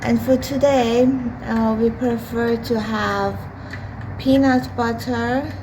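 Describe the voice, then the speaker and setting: Hoarse woman, casual home vlog, slow unhurried talk, traffic noise from open windows. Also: fairly high pitch, fairly even intonation. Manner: slow, unhurried, hesitant with many fillers. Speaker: vlogger. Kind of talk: casual daily vlog while cooking. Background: street and vehicle noise from outside.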